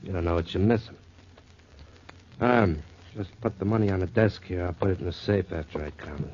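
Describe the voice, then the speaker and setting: An actor playing a genial, casual gambling boss in a 1940s radio drama. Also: male voice, low voice, moderate pace, close to an everyday voice. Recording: dull, noisy 1940s radio recording.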